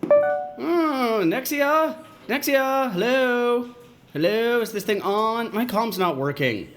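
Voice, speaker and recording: A woman's voice: fairly high pitch, expressive, slow, music in the background. A woman playing a grudging, impatient circus crew member in an audio drama. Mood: impatient calling.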